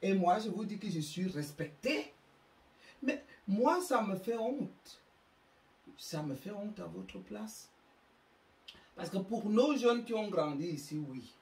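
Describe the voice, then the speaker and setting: A woman's voice: medium pitch, animated, darkish tone, echoey room, far from the mic. A woman with an African French accent hosting her own channel, delivering an emphatic, moralizing monologue. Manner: slow, emphatic, preachy. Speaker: YouTube channel host.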